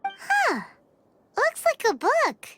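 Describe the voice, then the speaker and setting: A tiny, excitable fairy companion chatters in a very high, squeaky voice that leaps all over the place. Loud game music plays under every line. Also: female voice, extremely slow, exaggerated voice. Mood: curious. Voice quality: high squeaky childlike voice, speaks of herself in third person. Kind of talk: video game voice acting.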